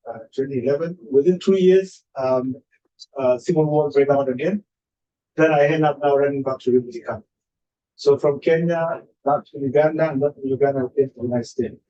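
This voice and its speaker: A man from South Sudan, testifying slowly to a legislative committee with many pauses and fillers. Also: mid-range voice, dark tone. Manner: slow, halting, many uh fillers. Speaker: adult education student testifying. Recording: dull lo-fi room audio with faint noise.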